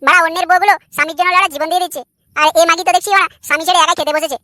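A man's voice: very high voice, fairly even intonation, rapid-fire, bright, forceful tone, a little hiss or hum. A man voicing a jealous, sneering husband in a comic cartoon, foul-mouthed and sarcastic. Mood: outraged. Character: jealous, crude, sneering adult son and husband.

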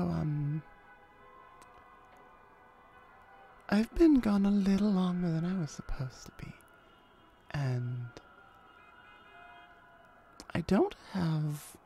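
A woman playing a flirty, laid-back female hellhound in an ASMR audio roleplay, talking to the listener in a wry, conversational way with hesitant pauses. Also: on the low side, gravelly, dark tone, full character voice. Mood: reluctant, wry.

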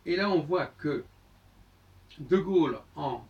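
An older man giving a solo talk to camera in a book-lined room, explaining step by step with many pauses and restarts. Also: a little high, expressive, gravelly, distant mic, muffled recording. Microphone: far camera on a tripod.

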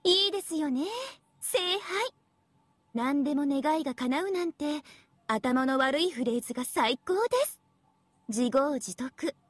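A woman's voice: high voice, expressive, measured pace, dry recording. An actress playing a smug, teasing young AI devil girl in an anime game, with a sweet girlish voice and a playful, mock-polite, condescending manner. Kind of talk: Japanese anime game voice acting.

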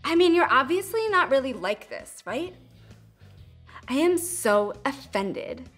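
A woman's voice: high-pitched, sing-song, slow, a bit bright, faint music behind, heavily acted. An actress playing an easily offended young woman in a comedy film, emphatic and incredulous. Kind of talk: scripted comedy film acting.

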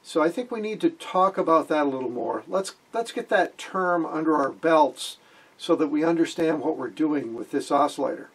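Husky older man explaining electronics. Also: on the high side, lively intonation, moderate pace. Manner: folksy, wry joking explanations. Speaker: amateur radio hobbyist, video host. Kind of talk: informal electronics tutorial. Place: small wood-panelled room indoors.

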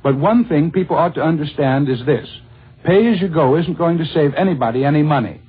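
Husky American newsman on old radio. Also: fairly high pitch, animated, fairly quick. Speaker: news correspondent. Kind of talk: 1940s radio news report. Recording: scratchy narrow-band 1940s radio recording.

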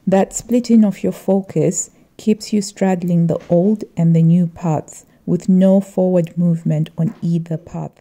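A middle-aged woman narrating a reflective vlog voice-over in a measured, earnest way. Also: on the low side, fairly even intonation, dark tone. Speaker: vlogger.

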